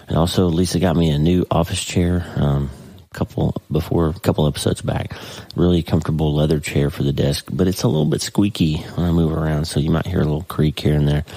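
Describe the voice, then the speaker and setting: A man's voice: rumbling low, creaky, mellow, breathy edge, no echo, rough recording. A middle-aged man with a stuffy, congested voice hosting an earnest, folksy faith podcast from his home office desk. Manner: earnest and folksy, with many restarts. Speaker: podcast host. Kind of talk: casual faith-based podcast monologue.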